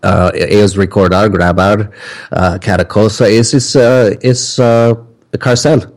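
A male guest answers in a very low voice, speaking slowly and haltingly. He pauses constantly on "ah" and "uh" while he hunts for the next word. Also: steady tone. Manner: halting, searching for words with many "ah" pauses. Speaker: interview guest. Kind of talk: casual interview answers.

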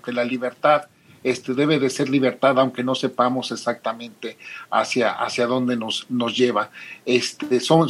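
A Mexican man with a Mexican accent, a podcast guest talking in a measured, thoughtful way. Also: medium pitch. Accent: Mexican Spanish. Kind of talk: casual podcast discussion.

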